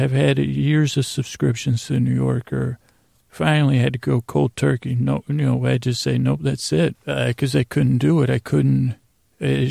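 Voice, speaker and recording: A man delivers a meandering bedtime podcast monologue in a very creaky, gravelly voice. His intonation stays fairly flat and the tone is soft-edged and dark. He halts, restarts and drifts off on tangents, recorded close and dry. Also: mid-range voice, no room sound. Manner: halting, meandering, full of stammered false starts and trailing tangents. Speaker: podcast host.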